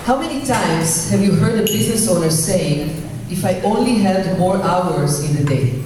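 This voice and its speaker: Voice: medium pitch, expressive, normal speed. A host reading a formal introduction of the guest speaker to a gathered audience. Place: meeting room with audience. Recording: echoey hall, distant mic, crowd noise.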